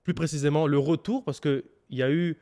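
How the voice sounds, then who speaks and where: Hesitant Québécois podcast host. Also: male voice, on the high side, fairly even intonation, fairly quick, mellow. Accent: Québécois French. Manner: informal, hesitant, many fillers and restarts. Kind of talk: casual podcast interview.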